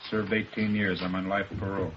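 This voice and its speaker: A man's voice: sits low, brisk, close to an everyday voice. Subdued ex-convict, muffled and hissy man acting. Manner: brief, flat answers. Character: subdued male ex-convict on life parole. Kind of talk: 1950s radio drama acting. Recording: muffled distant radio recording, hiss.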